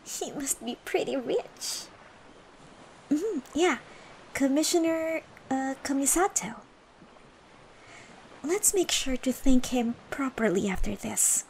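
A woman voicing a gentle, cheerful young female dancer in a soft, soothing ASMR comfort roleplay, breathy and encouraging. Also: high voice, expressive, takes it slowly, pauses often, a little hiss or hum, exaggerated voice, rough recording. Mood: relieved, excited. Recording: faint background music and ambience.

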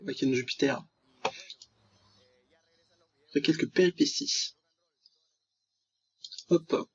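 A man's voice: a little high, slow, vocal fry, slightly rough. A man hosting a let's play, commenting ironically and reading game text aloud with lots of interjections. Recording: dull lo-fi mic with music behind. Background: game music.

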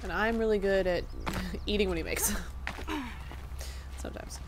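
A young woman streaming a game and chatting with her viewers, with a soft, slightly raspy voice. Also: mid-range voice, fairly even intonation, a little slow, background noise. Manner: chatty, answers chat questions aloud. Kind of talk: casual live-stream chat while gaming. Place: indoor room. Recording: game music underneath.

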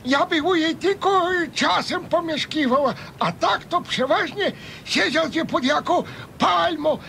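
Squeaky, cranky old Podlasie villager. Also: male voice, creaky, sharp tone, exaggerated voice. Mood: cranky, stubborn. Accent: eastern Polish Podlasie dialect. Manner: halting, querulous, rustic. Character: cranky old male village neighbour, stubborn, misogynist. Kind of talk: radio serial comedy acting. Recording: faint noise, low rumble.

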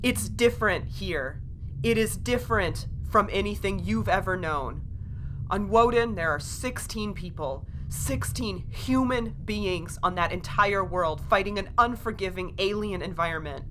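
A woman playing a stern, pragmatic spaceship pilot in an audio drama, firm, blunt and measured. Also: fairly bright tone, close to an everyday voice, low-quality recording. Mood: grave, blunt. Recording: faint noise with low rumble.

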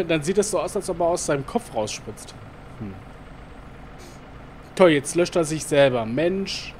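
A man talks his way through a game in a loose, rambling let's-play style. His fairly high voice is full of fillers and asides muttered to himself. A faint noise sits under the recording. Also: animated, unhurried, pauses often, darkish tone. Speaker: gaming YouTuber. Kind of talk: casual let's-play commentary.

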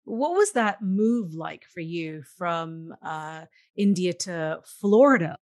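A woman's voice: fairly low pitch, lively intonation, takes it slowly, a little mellow, no echo, studio quality. A woman hosting an interview podcast, reading a polished introduction and asking her guest questions. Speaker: podcast host.

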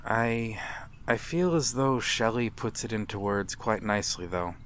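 A man playing a courteous, melancholy vampire in a dramatic ASMR roleplay, speaking formally and with measured pauses. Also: fairly low pitch, fairly bright tone. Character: courteous, melancholy male vampire, lonely and mysterious. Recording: clear background ambient noise. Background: night harbor ambience.

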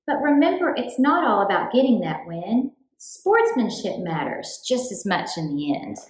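A woman reading a children's book aloud in an expressive, deliberate storytime style for young children. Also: mid-range voice, echoey room, poor audio. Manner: clear, deliberate, animated delivery for young children.

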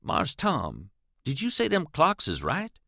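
Earnest, devout older riverman, a man putting it on; high, lively drawl, dry booth sound. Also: slow, creaky, dry recording, heavily acted. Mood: puzzled. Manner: pleading, drawling, questioning. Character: older male Southern riverman, earnest and pious.

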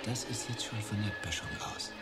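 An actor in a radio drama playing a callous, self-satisfied amateur filmmaker with a smug manner. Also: male voice, fairly low pitch, a little fast, loud music behind the voice, lightly acted. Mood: smugly pleased.

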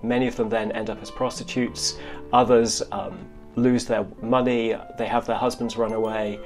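A man's voice: medium pitch, level tone, normal speed, creaky, a little mellow, soft background music. A man giving explanatory interview answers as an academic historian, often repeating and rebuilding his phrases as he goes.

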